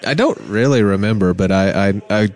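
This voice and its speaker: Deep, halting man, guest on a live convention podcast. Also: animated, a crowd in the background. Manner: halting, stammering restarts. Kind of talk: casual chat. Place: convention hall.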